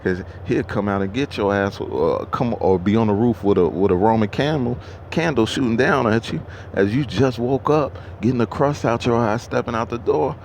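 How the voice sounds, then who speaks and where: A man's voice: mid-range voice, moderate pace, few pauses, a little hiss or hum. A truck driver in his thirties talking casually to camera at a truck stop, rambling and profane, with many restarts. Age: 30s.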